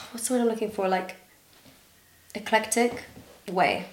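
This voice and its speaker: A woman's voice: medium pitch, measured pace. Chatty woman with an international school accent. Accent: international school, partly American. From Dubai. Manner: chatty, giggly, trailing fillers. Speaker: podcast guest. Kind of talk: casual chat. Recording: echoey room.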